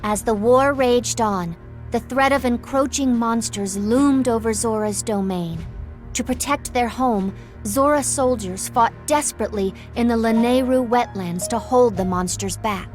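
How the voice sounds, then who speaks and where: A woman narrating a fantasy game as a serious, studious researcher in a measured, scripted manner. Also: on the high side, lightly acted. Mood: grave. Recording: faint music behind the voice.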